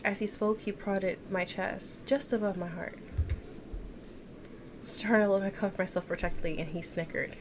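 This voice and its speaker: A woman game streamer reads a story aloud in a medium-pitched voice. She is expressive, slipping into casual asides and correcting her stumbles. There is clear background noise and game ambience behind her. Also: normal speed. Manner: stumbles over words and corrects herself. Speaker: game streamer reading a visual novel aloud.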